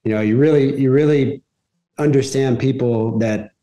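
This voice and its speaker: A man's voice: medium pitch, normal speed, dark tone. Man from Texas, thirties, casual chat, laughs a lot, rambling restarts, roomy video call sound. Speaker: podcast guest, retired soccer player. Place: small plain room indoors. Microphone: video call, laptop camera.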